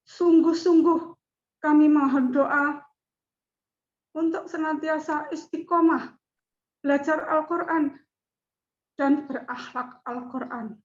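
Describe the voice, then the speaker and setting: A middle-aged woman speaks to her class in a high, unhurried voice, each phrase measured and deliberate. She presents formally as a student. The audio is dull and lo-fi. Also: steady tone. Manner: slow, measured speech with chanted recitation.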